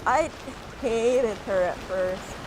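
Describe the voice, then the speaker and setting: A woman's voice: on the high side, extremely slow, background noise. A woman giving casual let's-play commentary as she plays a video game. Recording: game music behind the voice.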